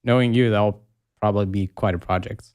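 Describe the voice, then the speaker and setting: A man's voice: fairly low pitch, fairly even intonation, a little fast, darkish tone, clear, resonant tone, dry recording, clean studio sound. A man hosting a casual music chat, asking brief, attentive questions. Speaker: host.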